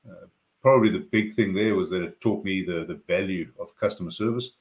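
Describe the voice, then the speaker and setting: A man in his forties with a South African accent, a podcast guest explaining his business background in a measured way. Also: low voice. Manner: measured, explanatory, sprinkled with "you know". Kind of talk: podcast interview.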